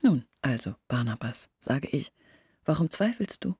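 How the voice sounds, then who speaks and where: An actress playing an earnest village woman in a radio play, explaining things in a measured, husky voice. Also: very deep voice, big pitch swings, mellow, dry recording, studio quality, heavily acted. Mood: troubled, insistent. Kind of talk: literary radio play monologue.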